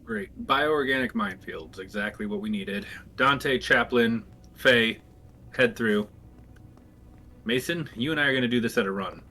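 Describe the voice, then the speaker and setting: A man's voice: mid-range voice, sing-song, normal speed, creaky, bright, forceful tone, full character voice. A man speaking in character as a captain, clipped and commanding. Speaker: player.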